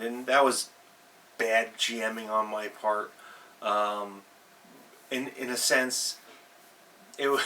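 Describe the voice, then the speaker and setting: A man with a bright, forward voice talks off the cuff as a gaming show host. He rambles through anecdotes in a halting way, backtracking to correct himself. He sounds a little away from the mic, with faint noise behind him. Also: medium pitch, far from the mic, rough recording. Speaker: host of a tabletop role-playing advice show. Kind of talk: casual anecdotal monologue.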